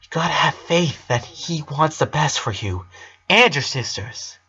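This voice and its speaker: A man voicing an earnest young man who speaks haltingly and consoles a boy in a story reading. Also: on the high side, animated, bright, forceful tone, slightly rough, lo-fi sound, pitched above the performer's own voice, full character voice. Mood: earnest, preaching.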